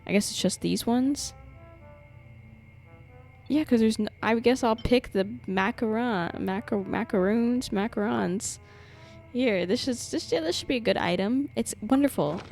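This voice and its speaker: A woman's voice: on the high side, measured pace, gravelly, darkish tone. A young woman livestreaming a game, commenting casually and playfully, exclaiming often and reading dialogue aloud. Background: game music.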